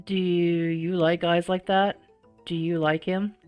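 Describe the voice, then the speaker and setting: A brooding teenage boy from a visual novel speaks with possessive seriousness, as a woman puts him on. His delivery is slow, grave and deliberate. Faint music plays behind. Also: lowish voice, level tone, darkish tone, clean tone. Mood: grave, hesitant. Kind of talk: visual novel character reading.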